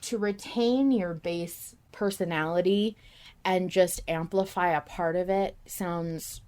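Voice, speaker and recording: A woman's voice: lowish voice, steady tone, takes it slowly, darkish tone, clear, resonant tone. A woman hosting a casual fan discussion podcast, talking informally and swearing freely. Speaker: podcast host.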